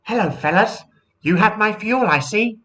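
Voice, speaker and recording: A man's voice: high-pitched, expressive, normal speed, fairly bright tone, pitched above the performer's own voice. A man voicing a friendly female seaplane in a storybook reading.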